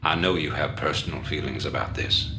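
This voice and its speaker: A deep commanding rebel leader. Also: male voice, steady tone, music in the background. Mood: grave. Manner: measured, authoritative, persuasive. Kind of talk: video game cutscene dialogue.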